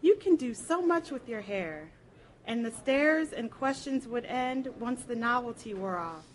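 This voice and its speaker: A woman giving a dramatic first-person literary reading aloud, with an expressive, theatrical delivery. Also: on the high side, measured pace, low-quality recording. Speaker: reader at a library program.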